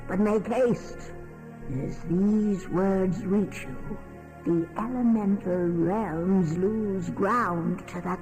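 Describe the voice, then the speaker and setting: An actor playing an ominous otherworldly guide, solemnly and portentously narrating a dark fantasy game. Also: male voice, high voice, creaky, full character voice, low-quality recording. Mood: urgent, rousing.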